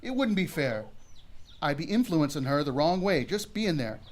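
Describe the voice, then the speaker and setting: A man's voice: fairly high pitch, very animated, normal speed. A man playing a resolute, world-weary gunman in a western radio drama. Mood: weary, regretful. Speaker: actor in a radio theater.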